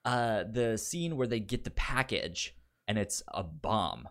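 A man co-hosting a podcast in casual film talk, speaking haltingly and often repeating the first sounds of his words. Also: fairly low pitch, lively intonation, fairly bright tone. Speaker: podcast co-host.

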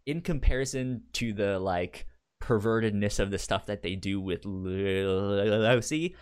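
A man co-hosting a casual book-club podcast, talking in a halting way with many restarts. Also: fairly low pitch, smooth. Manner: halting, repeats and restarts words. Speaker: podcast co-host.

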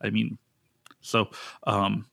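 A man, a pastor from the United States, hosting a casual Bible study podcast in an animated way, often restarting his sentences and leaping up in pitch to make a point. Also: slow pace, creaky. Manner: animated, jumps up in pitch for emphasis, frequent restarts.

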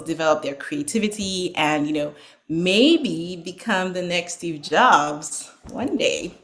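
A woman's voice: lowish voice, fairly bright tone. A woman in her thirties from Nigeria, telling her story as an interview guest in an earnest, reflective way with frequent ums and restarts. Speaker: guest, franchise owner.

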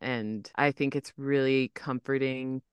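A man co-hosting a podcast speaks at a middle pitch with a smooth, clear voice, recorded close and dry. He is earnest and rambles a little, packing his sentences with fillers. He sounds engaged in the conversation. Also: fairly even intonation, unhurried, no room sound. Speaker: podcast co-host. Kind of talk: casual podcast interview.

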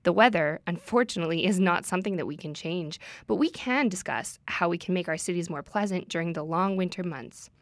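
A woman hosting, reading a scripted introduction in a measured, even way. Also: lowish voice, no room sound. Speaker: radio host.

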